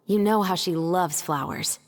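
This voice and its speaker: A woman's voice: fairly low pitch, unhurried, a little hiss or hum, natural voice. A woman voicing an observant, resolute young daughter, protective of her sister, in a dramatic video game. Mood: concerned, observant. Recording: long reverb, music underneath. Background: music.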